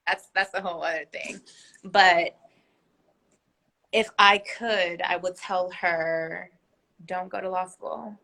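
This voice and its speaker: A woman's voice: fairly low pitch, steady tone, slow pace, vocal fry, long pauses, sharp tone, low-quality recording. A woman whose first language is Korean, speaking as a guest in a casual interview over a video call, earnest and reflective. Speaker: guest, business owner.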